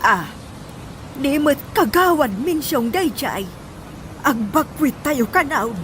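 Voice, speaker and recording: Woman acting a high-voiced fretful grandmother. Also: expressive, slow. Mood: alarmed, fussing. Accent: Ilocano. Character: fretful older grandmother, chiding her daughter. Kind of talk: Ilocano radio drama acting. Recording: faint background noise.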